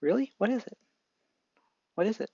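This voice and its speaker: A man voicing a timid, earnest young boy hero who gets excited easily. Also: very high voice, moderate pace, creaky, slightly rough, dry recording, highs cut off, voice pushed up, heavily acted. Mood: eager.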